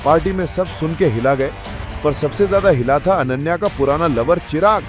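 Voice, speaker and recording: High-pitched male story narrator. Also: normal speed, faint music behind. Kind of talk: dramatic scripted story narration.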